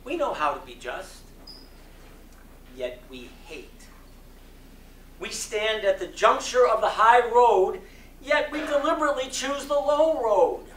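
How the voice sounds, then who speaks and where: A man performing a dramatic sermon reading in an oratorical style, with solemn, emphatic phrasing and long deliberate pauses. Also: extremely high pitch, animated, a bit bright. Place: community center hall before an audience. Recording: roomy echo, distant mic, faint noise.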